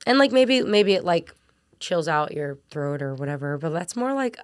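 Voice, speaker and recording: A young woman with a raspy voice, a singer and vocal coach, chatting in an interview with lots of fillers. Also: on the low side, animated, moderate pace, no echo, clean studio sound. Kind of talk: casual interview chat.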